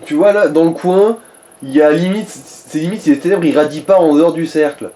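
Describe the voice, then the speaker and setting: A man's voice: a little high, fairly quick, dark tone. A man chatting casually as co-commentator on a video game, advising the player in slangy, mildly crude language. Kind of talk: casual gaming chat.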